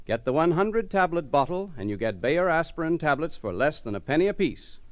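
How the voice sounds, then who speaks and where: A man's voice: fairly high pitch, sing-song, fairly quick, loud music behind the voice. A male radio announcer with a polished, emphatic 1940s delivery.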